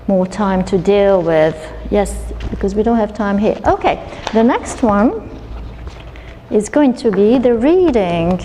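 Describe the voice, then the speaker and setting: A woman's voice: medium pitch, dark tone, a little hiss or hum. A woman, an educational consultant presenting a coursebook to teachers, explaining with frequent restarts and fillers. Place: lecture hall at a university. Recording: long hall reverb.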